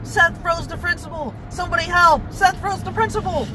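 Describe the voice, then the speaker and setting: Man putting on high, alarmed shouting schoolkids. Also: brisk, noisy background.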